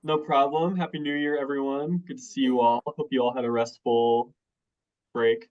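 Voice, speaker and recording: A young man with a cold and a nasal voice, giving a relaxed, hedging update on a meeting call. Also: medium pitch, normal speed, lo-fi sound. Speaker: rural work group lead.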